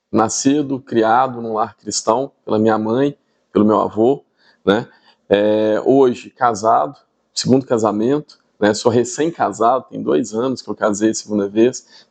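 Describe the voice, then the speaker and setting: A man's voice: fairly low pitch, measured pace, creaky, darkish tone. A middle-aged Brazilian man with a Minas Gerais accent, explaining things conversationally in an interview and often checking in with tag questions. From Espírito Santo, Brazil. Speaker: podcast guest, tech entrepreneur.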